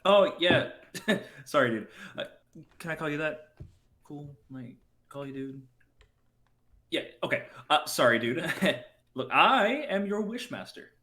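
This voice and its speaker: A man's voice: a little high, lively intonation, measured pace, pushed and bright. A man playing a brash, impatient wish-granting spirit with slangy salesman's patter in a comedic reading. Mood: sheepish. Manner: slangy, exasperated dude-speak.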